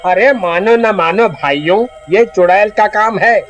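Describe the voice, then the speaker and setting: A bossy, superstitious village man acted by a man in a cartoon story, pitched high and speaking slowly. He argues and warns, with faint music behind. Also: lo-fi sound. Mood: insistent warning.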